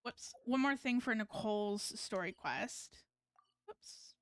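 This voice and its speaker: Female VTuber, casual rambling game-stream chat, high clear voice, very little room sound. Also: takes it slowly, no echo. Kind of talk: casual livestream chat while gaming.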